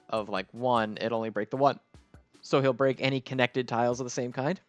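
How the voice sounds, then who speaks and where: A man hosting a gaming video with casual, unscripted commentary, rambling and interrupting himself as he explains. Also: mid-range voice.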